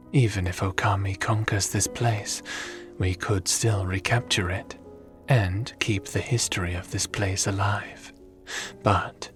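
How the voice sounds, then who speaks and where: Man playing a low-voiced, courteous scholarly sage. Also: a bit bright. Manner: polite, pondering, formal. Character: courteous, scholarly, thoughtful male traveling sage. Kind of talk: fantasy audio roleplay acting.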